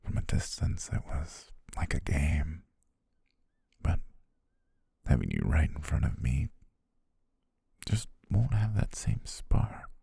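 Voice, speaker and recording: A man playing an obsessive, possessive photographer in a hushed, intimate ASMR roleplay, speaking slowly in a husky, gravelly half-whisper. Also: extremely low pitch, huge pitch swings, no echo, heavily acted. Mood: quietly menacing. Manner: slow, hushed, deliberate, with drawn-out pauses. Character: obsessive male yandere photographer, soft-spoken, possessive, menacing.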